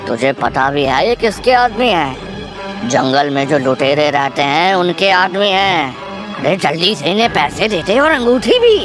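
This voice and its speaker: Woman doing a deep, courteous cartoon moneylender. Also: sing-song, unhurried, music in the background. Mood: feigned alarm. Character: male village moneylender, respectful, crafty, long-suffering. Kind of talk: Hindi cartoon acting.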